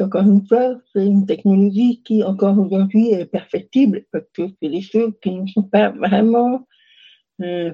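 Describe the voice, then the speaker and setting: A woman's voice: medium pitch, mellow, highs cut off. A young woman answering interview questions casually, hesitant, with many fillers and restarts. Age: young adult. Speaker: interview guest.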